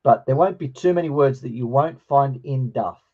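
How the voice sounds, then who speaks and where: A middle-aged man with a slightly croaky voice, teaching an informal online lesson in a hesitant, explanatory way. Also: medium pitch, moderate pace. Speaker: teacher of New Testament Greek. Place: online video call with screen share. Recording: dull, lo-fi online call.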